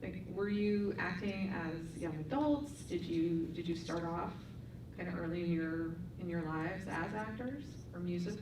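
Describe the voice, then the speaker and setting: A woman's voice: lowish voice, unhurried. A woman interviewing guests on stage, admiring and curious in her questions. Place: performing arts center stage. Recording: echoey hall, distant mic, audible hum.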